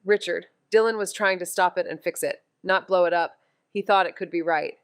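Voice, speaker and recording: A woman's voice: on the low side, brisk, smooth, no echo, natural voice. Wry woman investigator played by an actress, dry recording. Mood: pointed, determined. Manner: wry, blunt, clipped. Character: wry, determined amateur woman investigator narrating her case. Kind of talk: noir audio drama dialogue and narration.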